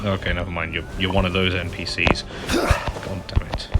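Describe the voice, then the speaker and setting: A man's voice: low voice, completely flat delivery, moderate pace. A man doing casual gameplay commentary, muttering to himself with exasperated asides. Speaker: gamer commentating a playthrough. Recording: game music behind the voice.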